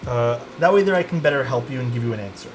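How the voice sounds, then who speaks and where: A man's voice: mid-range voice, lively intonation, fast, faint music behind. A young man with a Toronto Canadian accent, chatting casually with his livestream audience while soldering a keyboard. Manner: easygoing, chatty, answers chat while soldering.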